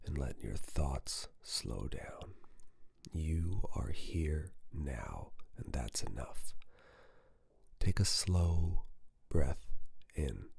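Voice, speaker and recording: A man doing slow, intimate ASMR, soothing the listener in a breathy, husky, mostly whispered voice with lingering pauses between short phrases. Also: very deep voice, no echo. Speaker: ASMR performer giving personal attention. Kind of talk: slow ASMR roleplay, relaxation guidance.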